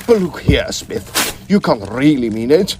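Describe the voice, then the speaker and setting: A man's voice: medium pitch, sing-song, unhurried, background noise. An actor playing an arrogant, desperate male occultist student, indignant and theatrical, in a melodramatic audio drama. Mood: desperate pleading.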